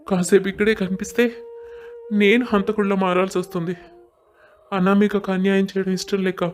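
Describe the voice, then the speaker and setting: A man's voice: high voice, natural voice. A man playing a wronged, emotional young husband in a scripted audio story drama.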